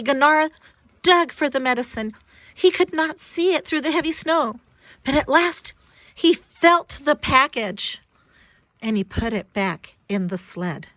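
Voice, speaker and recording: A high-pitched woman reads a children's story aloud. Her delivery is dramatic and emphatic, aimed at young listeners. The sound is close and dry. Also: fairly bright tone, no echo. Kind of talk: expressive read-aloud for children.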